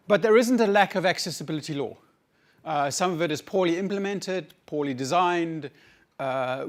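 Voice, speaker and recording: A man with a South African accent giving a conference talk, haltingly, often repeating words as he searches for the next phrase. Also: fairly high pitch. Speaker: conference speaker, academic researcher.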